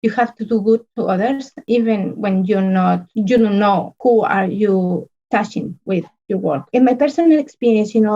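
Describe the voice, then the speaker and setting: A woman, an earnest podcast guest talking in halting phrases full of restarts and fillers. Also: medium pitch, dark tone, lo-fi sound. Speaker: podcast guest, business consultant. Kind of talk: casual interview conversation.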